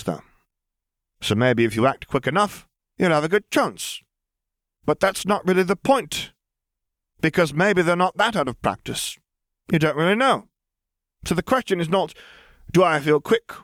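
A man's voice: on the high side, sing-song, moderate pace, long pauses, fairly bright tone, no echo, studio quality, voice pushed up. A man voicing a brash young witch crime boss in an audiobook, glib, mock-polite and theatrically menacing. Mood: taunting, menacing. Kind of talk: acted dialogue in fan fiction audiobook.

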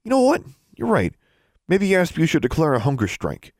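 A man's voice: a little high, wildly swooping pitch, moderate pace, a little mellow, no echo, clean studio sound, voice pushed up, exaggerated voice. A man voicing a scornful, indignant schoolboy with a sarcastic, sneering manner in a storybook reading.

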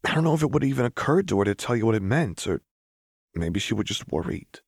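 A man voicing a brash young man from a rough undercity in an audiobook, exasperated and wry, speaking in emphatic slang. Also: fairly low pitch, very animated, fast talker, a little mellow, no echo, clean studio sound, pitched above the performer's own voice, heavily acted. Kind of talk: audiobook character dialogue.

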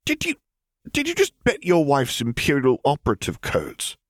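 A man's voice: fairly high pitch, wildly swooping pitch, normal speed, dry recording, clean studio sound, voice pushed up. A man voicing a formal, anxious Imperial officer who sounds stiff and bewildered, in an audiobook story. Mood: aghast.

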